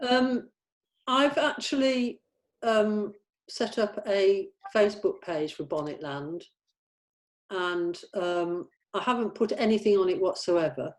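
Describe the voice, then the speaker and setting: A woman in a casual planning chat about a script. She speaks in a halting, tentative way at a middling pitch, like someone organising the group as she thinks aloud. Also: lively intonation. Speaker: writer organising a script table read.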